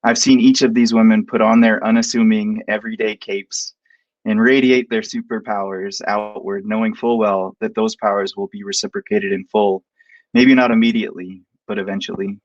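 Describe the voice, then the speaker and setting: Male professor, lowish voice, steady heartfelt read, dry muffled call sound. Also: no echo. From Montana, United States. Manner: measured, heartfelt, reading from a script. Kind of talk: prepared introduction read aloud. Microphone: video call.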